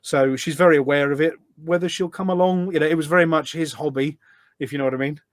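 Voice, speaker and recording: A British man talks casually as a guest on a podcast. His voice sits a little high and is recorded very dry, with no room echo. He is informal and chatty, with a self-deprecating streak. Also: steady tone, fast talker. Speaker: podcast guest, community event organiser. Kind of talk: casual chat. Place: office.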